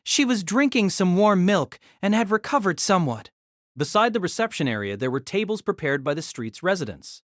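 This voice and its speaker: A man reading a fantasy novel aloud as narrator, in a steady storytelling manner. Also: high voice, a little fast, no echo, studio quality.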